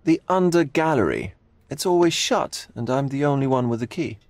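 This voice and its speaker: A man playing a sly, hedonistic, eccentric art gallery owner in a video game, with drawn-out theatrical philosophizing. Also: mid-range voice, animated, natural voice. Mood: intrigued, grave. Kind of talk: video game cutscene acting.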